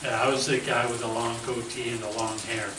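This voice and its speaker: A man's voice: mid-range voice, flat delivery, a little fast, a crowd in the background. A man hosting a radio show and interview in a casual, goofy, rambling way. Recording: roomy, far from mic, noisy.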